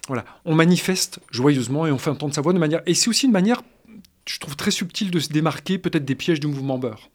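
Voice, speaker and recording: A man with a fairly high voice talks through music history on a radio discussion. He halts often, restarting phrases and filling gaps, yet stays careful and analytical. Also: quick pace. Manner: hesitant, many restarts and fillers, analytical. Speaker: guest expert on music history.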